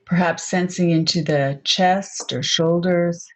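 A woman leading a guided meditation in a soft, unhurried, gently inviting way, on a dull lo-fi recording. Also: fairly low pitch, steady tone.

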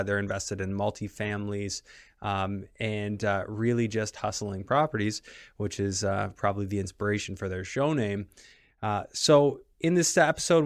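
A man's voice: fairly low pitch, dry recording, clean studio sound. A man hosting a podcast in a casual, conversational style. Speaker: podcast host.

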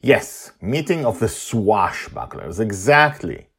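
A man voicing an excitable, determined father who proclaims like a town crier in a storybook tale. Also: on the low side, breathy edge, voice pushed up, exaggerated voice. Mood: earnest. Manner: loud, proclaiming, drawn-out calls.

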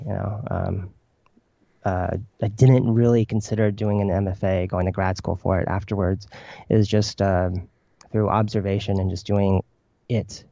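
A man's voice: lowish voice, vocal fry, dark tone, dry recording, lo-fi sound. A man whose first language was Chinese, a poet answering interview questions thoughtfully, with many fillers and restarts. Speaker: poet guest.

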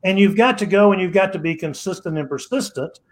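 A man in his sixties speaks as a podcast guest in a fairly high voice. He sounds preachy and repeats himself, often restarting his phrases as he hammers his point home. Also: expressive, a little fast. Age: 60s. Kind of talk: motivational interview talk.

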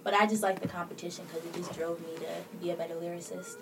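A young woman from Kenya, a singer-songwriter, telling her story as a podcast guest in relaxed, unhurried chat. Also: lowish voice. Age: 20s. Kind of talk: casual interview chat. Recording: distant mic with clear background noise.

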